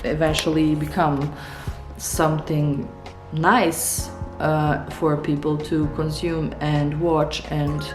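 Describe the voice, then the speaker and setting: A woman in her thirties, a Serbian native speaker, talking reflectively in a casual studio vlog with lots of hesitations and soft laughs. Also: flat delivery, slow pace, reverberant, over music. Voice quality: low voice. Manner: reflective, hesitant, many fillers, laughs softly. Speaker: illustrator vlogger. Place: studio room indoors.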